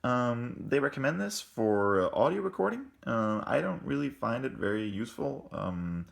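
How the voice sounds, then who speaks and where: A man with a very deep voice giving a casual unboxing review, talking in a halting way with many ums and restarts, in an indoor room. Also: lively intonation, vocal fry. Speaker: tech reviewer.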